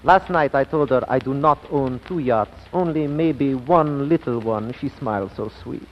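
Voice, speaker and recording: A man's voice: mid-range voice, normal speed, muffled recording, lightly acted. A man as a lovestruck suitor, noisy old recording. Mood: hopeful, earnest. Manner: earnest, stiff simple phrasing. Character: lovestruck, penniless, naive foreign suitor. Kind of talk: 1940s radio comedy acting.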